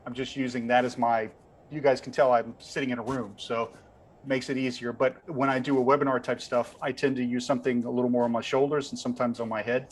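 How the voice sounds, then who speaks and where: A man's voice: mid-range voice, little pitch movement, a little fast. Man explaining casually over background noise. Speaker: panelist on lighting gear. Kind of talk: informal explanation in a webinar. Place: room at home.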